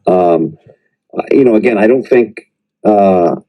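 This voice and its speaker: Low-voiced reflective male professor. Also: slow pace, highs cut off. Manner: reflective, hesitant, many restarts and fillers. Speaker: professor, English teacher in Japan. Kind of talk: conversational podcast interview.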